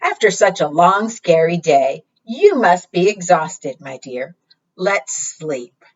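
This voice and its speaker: A woman's voice: fairly low pitch, animated, slow pace, lo-fi sound. A woman voicing a tender, relieved penguin parent in a children's storybook. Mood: soothing.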